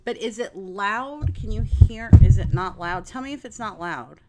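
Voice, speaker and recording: A woman's voice: mid-range voice, expressive, moderate pace, heavy background noise. A woman in her forties with a nasal voice, hosting a casual live sewing stream from her home craft room on a desk mic. She chats in a rambling way and talks straight to her viewers. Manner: chatty, addresses viewers directly, rambling. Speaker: craft livestream host.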